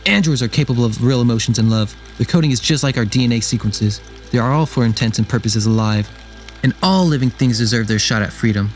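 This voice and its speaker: A man's voice: mid-range voice, quick pace, soft background music, natural voice. A man playing an earnest, proud mechanic and android builder in an amateur sci-fi radio drama. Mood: indignant, impassioned.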